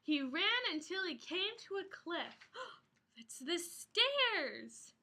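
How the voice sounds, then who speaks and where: A woman's voice: very high-pitched, very animated, slow, pushed and bright. A woman reading a picture book aloud to a child in a dramatic, sing-song way, with exclamations.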